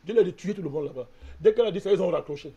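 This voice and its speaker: Argumentative high-voiced man from Cameroon. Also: rapid-fire, dark tone, slightly rough, lo-fi sound. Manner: insistent, argumentative, repeats a direct address to the host. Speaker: debate panelist. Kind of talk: heated political debate.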